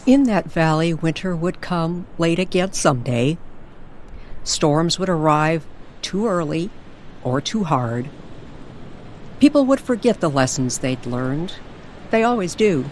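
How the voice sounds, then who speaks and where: A woman narrating a scripted story in an old-time radio style, with deliberate, hushed storytelling phrasing. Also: low voice, expressive, slight background noise. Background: music bed.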